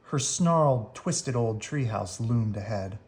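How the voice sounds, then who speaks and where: A woman narrates a children's storybook in a low voice. Her delivery is expressive and animated, and she reads at a slow, unhurried pace. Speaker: storybook reader.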